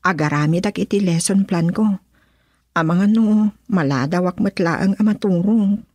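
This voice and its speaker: An actress with an Ilocano accent playing a busy, apologetic working wife and schoolteacher in a radio drama. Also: female voice, on the low side, moderate pace, darkish tone, dry recording, close to an everyday voice. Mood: tired, casual.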